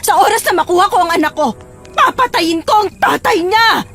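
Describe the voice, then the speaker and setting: Woman acting a distraught, high-pitched enraged mother. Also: animated, fast, soft background music. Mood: tearful, desperate. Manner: emotional, accusing. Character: distraught, enraged young mother, deceived and vengeful. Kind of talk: melodramatic audio drama acting.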